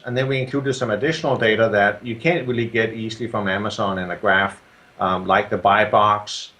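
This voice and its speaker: Danish-accented man explaining his software. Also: lowish voice. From Denmark. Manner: steady explaining, many ums and restarts. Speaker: software creator, guest. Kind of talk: casual interview explanation.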